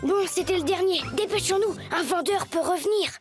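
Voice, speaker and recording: Very high-pitched plucky little boy. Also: a little fast, faint music behind. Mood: urgent. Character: plucky, kind little boy turned wooden puppet. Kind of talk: French animated series dub acting.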